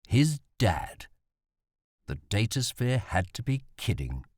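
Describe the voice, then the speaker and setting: A man's voice: on the low side, sing-song, takes it slowly, a little mellow, no room sound, studio quality. A man reading a novel as an audiobook narrator.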